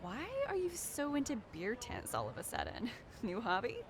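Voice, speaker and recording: A woman's voice: medium pitch, wildly swooping pitch, normal speed, gravelly, background noise. An actress playing a wry, playful, chatty young woman in a video game. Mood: teasing. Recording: music behind the voice.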